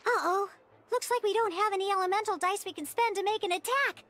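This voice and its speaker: A woman voicing a peppy young cartoon mascot in a video game tutorial, chirpy and childlike, eagerly explaining with expressive exclamations. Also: very high voice, quick pace. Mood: upbeat, instructive.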